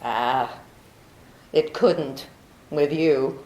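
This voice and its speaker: A woman's voice: extremely low pitch, very slow, darkish tone, full character voice. A woman playing a beguiling, deceitful young woman in trouble in a 1940s-style radio play, coy and pleading. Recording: echoey room.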